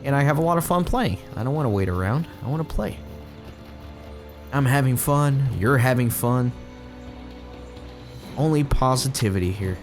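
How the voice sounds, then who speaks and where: A man's voice: mid-range voice, lively intonation, moderate pace, pauses often, faint music behind. A man getting over a cold, giving casual, chatty, rambling commentary while playing an online game. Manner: chatty, rambling, self-correcting. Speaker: let's play gamer.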